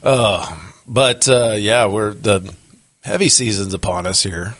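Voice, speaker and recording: Hoarse man with a low, dry voice. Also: slow pace, a bit bright, dry recording. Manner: rambling, many fillers and restarts. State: hoarse from a cold. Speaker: podcast co-host. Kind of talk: casual chat. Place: office.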